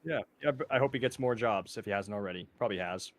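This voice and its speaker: A man's voice: fairly low pitch, quick pace. A man chatting with a friend on a game stream, rambling with lots of fillers and laughing often. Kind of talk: casual gaming chat.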